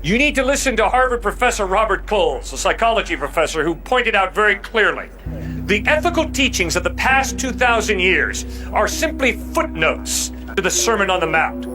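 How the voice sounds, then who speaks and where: A man with a distinctly high voice argues like an impassioned street apologist. He is emphatic and colloquial, leaning on rhetorical questions. Music plays clearly behind him. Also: very animated, moderate pace, poor audio. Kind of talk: impassioned open-air apologetics debate.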